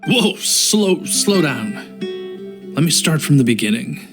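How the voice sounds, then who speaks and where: A man's voice: fairly high pitch, sing-song, takes it slowly, music in the background, heavily acted. A man voicing a boastful yet timid city guard in a video game, dramatic and self-important in delivery.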